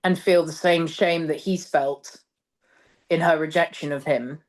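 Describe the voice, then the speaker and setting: A woman's voice: deep voice, steady tone, a little slow, low-quality recording. A woman speaking as a theatre director in a casual interview, thoughtful and discursive, letting phrases trail as she explains. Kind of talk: casual interview chat about stage work.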